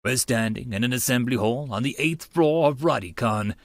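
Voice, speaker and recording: A man's voice: mid-range voice, moderate pace, no echo, clean studio sound. A man narrating a visual novel aloud as a channel host, slipping into casual asides.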